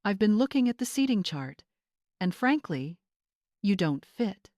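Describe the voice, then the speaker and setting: A woman's voice: mid-range voice, big pitch swings, measured pace, darkish tone, dry recording, clean studio sound. A woman voicing a smug young social-climbing bride, condescending and affected in an audiobook story. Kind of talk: dramatized audiobook character voice.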